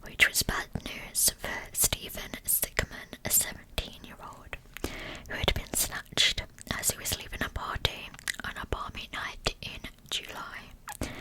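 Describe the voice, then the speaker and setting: A woman whispers scripted narration in an ASMR style. Her voice is fully unvoiced and breathy, and she takes her time over each phrase, keeping the storytelling hushed and deliberate throughout. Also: rough recording. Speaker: ASMR true-crime narrator.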